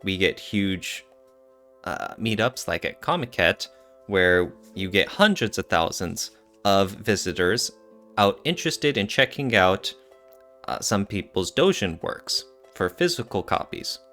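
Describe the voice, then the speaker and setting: A man's voice: sits low, animated, unhurried, fairly bright tone, faint music behind. A man co-hosting a podcast, explaining things in a casual, thinking-aloud way. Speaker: podcast co-host.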